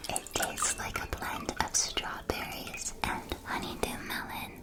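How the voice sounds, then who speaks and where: A woman's voice: measured pace, natural voice. Woman playing a whispering, welcoming witch shopkeeper. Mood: warm, welcoming. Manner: soft-spoken, hushed, gently enthusiastic sales patter. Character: cheerful, welcoming witch keeper of magical sweet shop. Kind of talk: ASMR roleplay, whispered.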